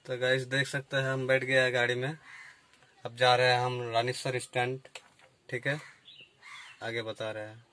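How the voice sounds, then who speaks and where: A man's voice: medium pitch, moderate pace, long pauses, slight background noise. A young man chatting casually to viewers in a vlog, filmed on a phone held at arm's length outdoors and in his car. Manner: flat, plain narration addressed to viewers. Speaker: vlogger, hire-car driver. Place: outdoors in a village, then inside a car.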